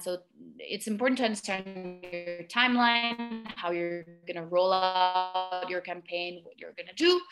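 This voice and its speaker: A woman's voice: mid-range voice, rough recording. Young woman giving a casual webinar talk. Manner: casual and conversational, many fillers and restarts. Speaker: webinar presenter. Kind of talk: webinar talk over slides. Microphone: video call webcam.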